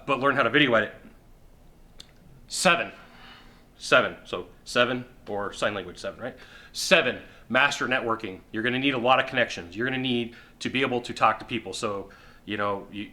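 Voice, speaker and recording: A middle-aged man giving sales advice straight to the camera from a sofa indoors, emphatic and repetitive, with quick acted-out asides. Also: mid-range voice, sharp tone. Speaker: sales coach. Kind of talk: direct-to-camera advice talk. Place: indoor living room on a sofa.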